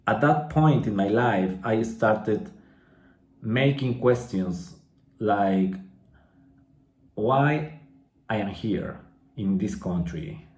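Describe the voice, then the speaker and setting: Slow-speaking Peruvian man, echoey room. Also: fairly low pitch, a little mellow. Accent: Peruvian Spanish. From Peru. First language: Spanish. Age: 30s. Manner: slow and clear, restating phrases with tag questions like okay and right. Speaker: English teacher sharing a testimony. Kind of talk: personal testimony for English learners.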